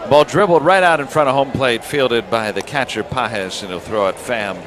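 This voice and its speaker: A man's voice: medium pitch, moderate pace, noisy background. A man calling live baseball play-by-play on the radio as the announcer.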